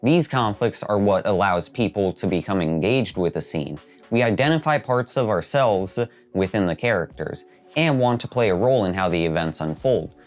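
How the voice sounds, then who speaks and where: A man's voice: on the low side, animated, normal speed, hardly any pauses. A man hosting a video essay, reading scripted narration. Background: background music.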